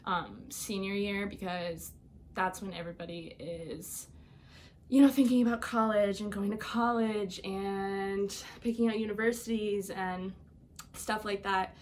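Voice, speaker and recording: A woman's voice: medium pitch, fairly even intonation, a little slow, slight background noise. A young woman whose first language is Albanian, a podcast guest telling her own story in a casual way, with lots of fillers and frequent laughs. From Iowa, United States. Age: young adult. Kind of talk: personal storytelling.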